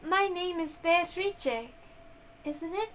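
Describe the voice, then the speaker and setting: Feeble, innocent young witch, performed by a woman reader, high-pitched and halting, sad but earnest, with music underneath. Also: a bit bright, a little hiss or hum, full character voice. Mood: timid, questioning.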